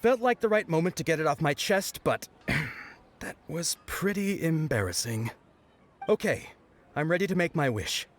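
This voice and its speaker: Actor as a sincere young game character, lively intonation, bashful, hesitant, lighter male pitch. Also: normal speed, natural voice. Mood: bashful, devoted. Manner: hesitant, earnest, animated. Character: earnest, bashful, lovestruck young festival worker. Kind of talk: video game voice acting. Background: music.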